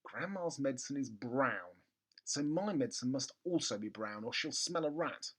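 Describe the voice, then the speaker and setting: A man's voice: medium pitch, lively intonation, moderate pace, fairly bright tone. A man reading the part of a mischievous young boy in a children's storybook, gleeful and scheming.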